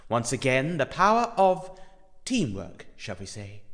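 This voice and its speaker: A man's voice: mid-range voice, sing-song, measured pace, fairly bright tone. A man playing a brooding, theatrical storyteller who narrates an audio anthology to the listener. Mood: theatrical, wistful. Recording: long cavernous reverb.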